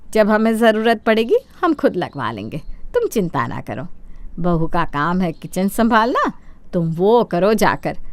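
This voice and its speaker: A woman acts out an older mother-in-law from a cartoon moral story. She is greedy and domineering, snapping out sharp-tongued orders in a fairly high voice. The sound is close and dry. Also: dry recording. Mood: bossy.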